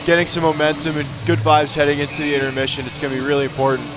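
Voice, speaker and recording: A man with an American accent gives live hockey analysis as a color commentator. He talks quickly in a mid-pitched voice, with music behind him. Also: monotone, rough recording.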